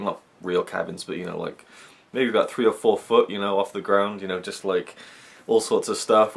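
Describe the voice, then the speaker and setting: Brief: young man from Wales, deep voice, rambling chatty vlogger. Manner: rambling, chatty, many fillers. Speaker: YouTube reviewer. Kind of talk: casual chat to camera.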